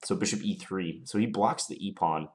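A man with a very low voice and a slight cold talks through his chess moves at his computer. He sounds calm and analytical, weighing each option in a measured way. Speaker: chess commentator playing online. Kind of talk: thinking aloud during a chess game.

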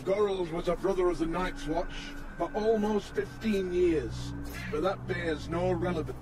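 An actor playing a stern old lord commander in a fantasy game, giving grave, formal oratory. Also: male voice, high voice, a little slow, music in the background, low-quality recording. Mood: stern, solemn. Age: older. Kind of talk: dramatic fantasy game acting.

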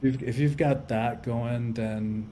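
A man's voice: mid-range voice, fairly even intonation, takes it slowly, dark tone, a little hiss or hum. A man in his fifties from Cuba, a guest on a video-call podcast, talking earnestly and reflectively and often repeating words as he thinks. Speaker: podcast guest, life coach and author. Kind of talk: casual interview conversation. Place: indoor room at home.

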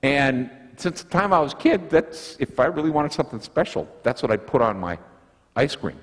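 A man's voice: medium pitch, normal speed. An older man preaching a sermon as pastor in a church sanctuary, telling stories with folksy asides. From United States. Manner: lively storytelling, folksy asides. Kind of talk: sermon with object lesson. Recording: long church reverb, slightly husky sound.

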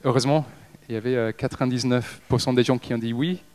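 English guy in his 30s talking on stage, smooth clear voice, lots of pauses and restarts, handheld mic. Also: mid-range voice, steady tone, darkish tone. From Liverpool, England. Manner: halting, frequent hesitations and restarts. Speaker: company director giving a talk. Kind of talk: stage talk to an audience. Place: stage in an event hall with a seated audience. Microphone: handheld microphone.

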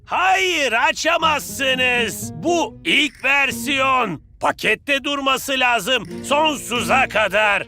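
A vain cartoon football star, with a very high-pitched voice full of creak. He talks in a boastful, theatrical way, openly in love with himself. Music plays clearly behind him. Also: lively intonation, drawn-out words, hardly any pauses, pushed and bright, exaggerated voice. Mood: precious, proud. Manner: boastful, theatrical, self-adoring. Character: vain, flamboyant male football star promoting his own toy. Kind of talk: animated cartoon dub acting.

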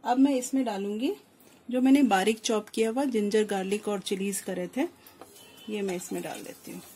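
A woman's voice: medium pitch, steady tone, moderate pace, mellow. A woman giving step-by-step cooking instructions, mixing in English words. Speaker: home cook presenting her recipe channel. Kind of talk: step-by-step cooking tutorial voice-over. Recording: clear kitchen noise, frying sizzle. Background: sizzling food and stirring.

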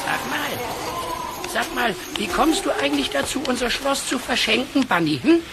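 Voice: high voice, animated, unhurried. An actor playing a timid, naive cartoon sidekick in a children's audio play, whiny and stammering, with noise behind. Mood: puzzled. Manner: hesitant, stammering.

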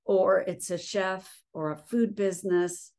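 A woman hosting an interview as a cookbook publisher, asking measured questions over a video call from her living room. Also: mid-range voice, steady tone, a little mellow. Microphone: laptop webcam on video call.

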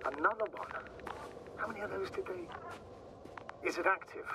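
A man playing a cautious, concerned space mission commander in a video game, dry and measured, heard through a radio. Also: high-pitched, animated. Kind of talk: naturalistic video game voice acting. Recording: noisy radio link.

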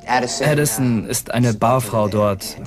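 An actor playing a defensive young boyfriend under suspicion in a dubbed true-crime reenactment. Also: male voice, medium pitch, slow, natural voice. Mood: guarded. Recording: music behind the voice.

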